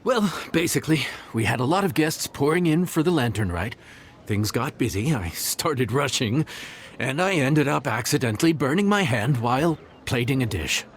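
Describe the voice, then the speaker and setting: An actor playing a proud, stubborn inn chef in a video game, flustered and defensive, with hesitant stammers. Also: male voice, mid-range voice, animated, normal speed, natural voice. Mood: embarrassed. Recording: music playing behind the voice.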